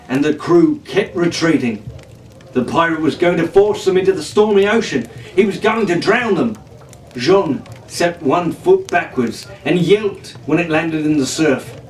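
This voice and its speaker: Echoey, distant male storyteller with theatrical delivery. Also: a little high. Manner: theatrical, pirate-flavoured delivery. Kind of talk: dramatic audiobook narration. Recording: roomy, distant, noisy home recording.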